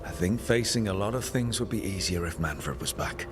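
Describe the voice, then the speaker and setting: An actor playing a supportive, plain-spoken adventurer in a fantasy game, sincere and encouraging. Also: male voice, low voice, lively intonation, moderate pace, over music. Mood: reassuring.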